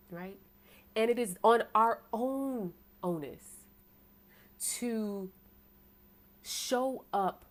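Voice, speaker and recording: Casting: American woman, tarot reader, deep voice, preaching tone, rhetorical questions, quick bursts. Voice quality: self-described deep voice. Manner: preachy, rhetorical, asks "right?" often, bursts of fast talk. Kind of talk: tarot sermon to an online audience.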